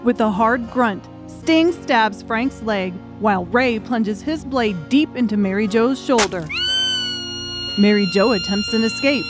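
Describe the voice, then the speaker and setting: A woman's voice: a little high, expressive, unhurried, over music. A woman reading scripted, dramatic audiobook narration.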